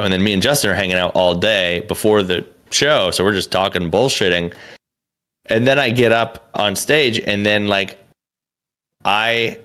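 A man's voice: lowish voice, a bit bright, smooth. A young man, a comedian and podcast co-host, telling a story in a loose, rambling way, full of "like" and restarts, with casual swearing. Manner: rambling, lots of "like" and restarts, profane.

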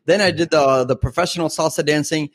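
A man's voice: on the high side, steady tone, fast talker, slight background noise. Chatty man, native Spanish speaker. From Mexico. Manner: rambling, lots of fillers and restarts. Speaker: podcast guest. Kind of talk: casual interview chat.

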